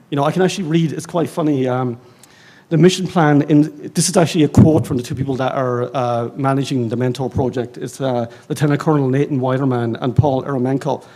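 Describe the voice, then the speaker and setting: Irish male panelist, husky, mid-pitched, chatty and self-deprecating. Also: a little fast. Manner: rambling, animated, self-mocking, heavy with fillers. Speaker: panelist, librarian. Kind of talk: conference panel discussion. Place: conference stage.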